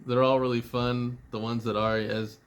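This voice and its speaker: A man giving a casual, rambling video message to a friend, sounding a little tired and out of it, halting and teasingly irreverent, with some swearing. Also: medium pitch, little pitch movement, clear, resonant tone. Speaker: spiritual vlogger.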